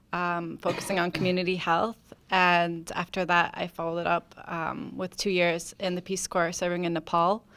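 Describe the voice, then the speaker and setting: Young female student panelist, smooth voice, very flat intonation, informal introduction. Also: on the low side. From Syracuse, New York, United States. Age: 20s.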